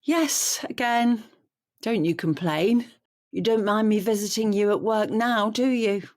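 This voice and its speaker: A woman's voice: mid-range voice, measured pace, darkish tone, natural voice. An actress playing an older bereaved widow and mother in an audio drama, plaintive and stubborn in manner. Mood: wistful. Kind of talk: naturalistic audio drama acting.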